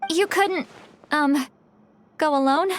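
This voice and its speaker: An animated, very high-voiced young shaman, music behind. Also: slow pace. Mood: flustered, jealous. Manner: animated, dramatic. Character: excitable, youthful-sounding shaman woman touchy about age. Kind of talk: video game voice acting. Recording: background music under the voice.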